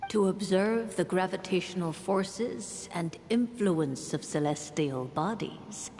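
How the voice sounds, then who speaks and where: A woman playing a stately old sage in a fantasy game, giving cryptic instruction in a measured, formal manner. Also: on the low side, darkish tone. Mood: solemn. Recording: long reverb, some background noise.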